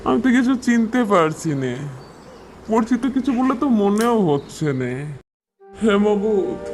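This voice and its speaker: অভিনেতার কণ্ঠে চড়া গলার অসহায় গরিব গ্রাম্য স্বামী. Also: male voice, high voice, a little mellow, exaggerated voice. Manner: plaintive, drawn-out, rustic speech. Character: poor, weary, desperate village husband. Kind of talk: Bangla animated folk-tale dubbing.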